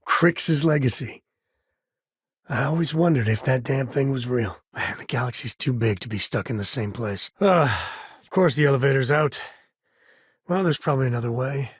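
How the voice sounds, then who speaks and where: A man's voice: medium pitch, moderate pace, slightly rough, no echo, studio quality, close to an everyday voice. An actor playing an easygoing, wry space-frontier ranger and protective father in a video game, with folksy, informal speech. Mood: reflective.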